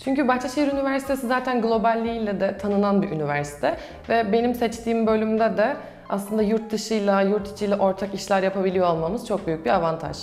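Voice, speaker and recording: A woman's voice: mid-range voice, fast talker, hardly any pauses, a little mellow. A young adult woman, a student answering questions in a promotional interview. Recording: roomy echo with music behind. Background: background music.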